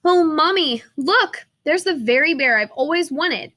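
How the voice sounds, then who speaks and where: A woman's voice: high-pitched, animated, a little slow, sharp tone, pitched above the performer's own voice, heavily acted. A woman voicing an eager, affectionate little girl in a storybook, earnest and polite. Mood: excited longing.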